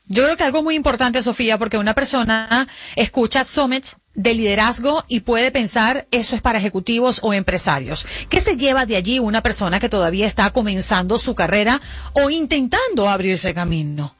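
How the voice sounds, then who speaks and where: High-pitched woman radio host, dry sound. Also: animated, fairly quick, a bit bright, dry recording. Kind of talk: radio interview hosting.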